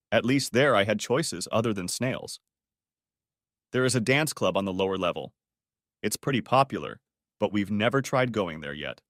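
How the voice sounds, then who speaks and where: A man's voice: mid-range voice, no echo, studio quality. A man narrating a first-person story in scripted storytelling style. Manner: expressive storytelling.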